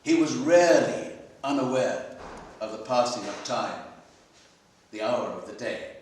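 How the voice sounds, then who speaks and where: A man's medium-pitched voice reads a short story aloud to a room, heard from a distance in a very echoey hall. His delivery is measured and expressive, with deliberate pauses between phrases. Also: a little hiss or hum. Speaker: story reader at a literary festival. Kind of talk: live dramatic reading of literary fiction. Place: library hall, live festival reading. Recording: very echoey hall, picked up from afar.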